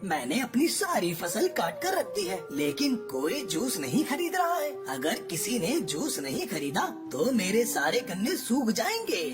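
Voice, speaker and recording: A woman's voice: mid-range voice, wildly swooping pitch, measured pace, few pauses. A woman voicing a greedy, scheming male crow trader in a Hindi cartoon moral story, with a bossy, theatrical delivery. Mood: worried, pleading. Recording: loud music bed under voice. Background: background music.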